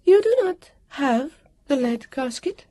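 A woman voicing a serene, otherworldly female guardian in an audiobook, soft and lilting with a trace of a foreign accent. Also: on the high side, expressive, unhurried, no room sound, pitched above the performer's own voice. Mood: declaring, resolute. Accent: foreign lilt. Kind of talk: audiobook character voice.

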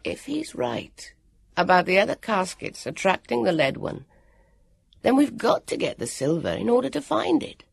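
A high, airy, lordly boy, voiced by the narrator, with swooping pitch. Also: very animated, creaky, husky, exaggerated voice. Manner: airy and lordly, quick to brush things off. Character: lordly, bossy young boy.

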